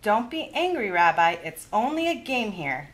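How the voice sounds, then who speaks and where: A woman voicing a kindly, chatty old grandmother in a storybook, with animated, exclamatory delivery. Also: mid-range voice, moderate pace, pitched above the performer's own voice. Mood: chatty, fussing.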